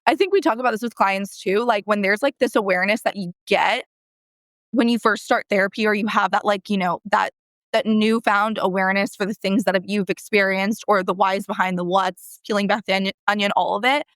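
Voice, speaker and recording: A woman's voice: medium pitch, fairly even intonation, brisk, a bit bright, no room sound. A woman in her early thirties with an American accent, talking casually as a therapist guest in a rambling, self-correcting way with emphatic repetition. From San Diego, California, United States. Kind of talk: casual conversational interview.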